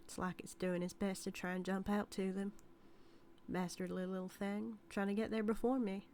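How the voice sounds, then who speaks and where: An obsessive outlaw cowgirl, played by a woman, speaks at a middle pitch straight to her listener in an audio drama. She is teasing and dominant, with folksy Western phrasing and a sweetly menacing devotion. Some background noise sits behind her. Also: flat delivery, normal speed, a little mellow, natural voice. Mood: smitten. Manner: drawling folksy Western talk, teasing, calls listener darling. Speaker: audio roleplay performer.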